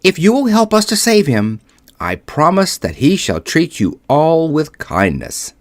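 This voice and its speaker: A friendly, straw-stuffed scarecrow speaks in a raised, eager voice, as a male reader puts him on. His pitch moves a lot. The recording is close and dry. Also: very animated, measured pace, no room sound.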